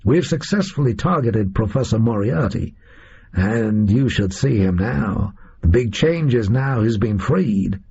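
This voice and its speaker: A man's voice: on the low side, expressive, a little slow, a little mellow, slightly rough, full character voice. A man voicing a tough, sardonic gunman who drawls in casual slang. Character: tough American male gunman, blunt and sardonic. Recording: muffled lo-fi recording.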